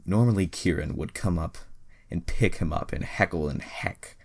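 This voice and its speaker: A man's voice: low voice, moderate pace. A young man reading an amateur story aloud in a mocking way, breaking off for sarcastic, profane asides. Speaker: reader. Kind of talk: mocking dramatic reading of amateur fan fiction.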